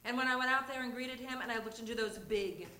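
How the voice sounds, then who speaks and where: Female reader, fairly high voice, theatrical comic storytelling, noisy live stage recording. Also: quick pace, pushed and bright, echoey room. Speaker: reader of a humorous memoir story. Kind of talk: live dramatic reading of a comic personal story. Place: theatre stage before an audience.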